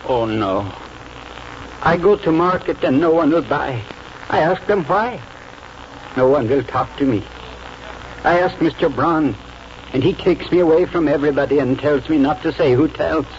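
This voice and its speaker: An actor playing an earnest immigrant farmer with a foreign accent, speaking halting broken English in 1940s radio drama style. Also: male voice, on the high side, close to an everyday voice. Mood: troubled, hurt. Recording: muffled old radio broadcast, faint hiss.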